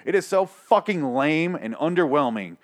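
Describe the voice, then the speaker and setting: A man's voice: fairly high pitch, animated, normal speed. A young man reviewing a TV episode in an exasperated, profane rant, full of restarts and rambling asides, his voice getting worn. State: losing his voice. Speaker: TV show reviewer.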